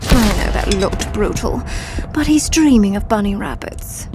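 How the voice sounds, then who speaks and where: An actress playing a cool, composed telepathic superheroine in a video game, dry in manner. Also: female voice, mid-range voice, lively intonation, measured pace, close to an everyday voice. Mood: cool, focused. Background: loud music.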